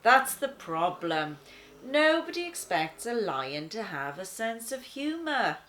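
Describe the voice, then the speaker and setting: A woman reading a children's story as a laid-back talking lion, conversational and wry, with dramatic pauses. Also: on the high side, sing-song, a bit bright, a little hiss or hum, exaggerated voice. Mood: wry, relaxed. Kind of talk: first-person children's storybook narration.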